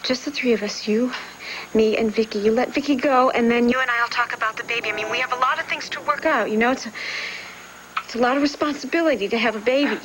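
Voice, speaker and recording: A woman's voice: on the high side, quick pace, background noise, lightly acted. An actress playing an anxious, determined expectant mother in a television soap, earnest and hesitant, often pleading. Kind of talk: television soap drama acting.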